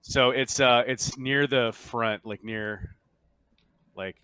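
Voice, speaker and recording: A man running a tabletop roleplaying game as game master, narrating in a halting, self-correcting way. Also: medium pitch, steady tone, bright, forceful tone, smooth.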